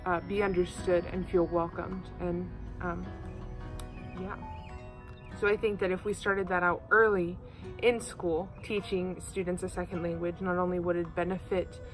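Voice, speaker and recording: A nineteen-year-old woman from Oklahoma in an interview, talking thoughtfully with frequent ums and uhs. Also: lowish voice. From Oklahoma, USA. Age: teens. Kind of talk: casual recorded interview. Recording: music playing underneath.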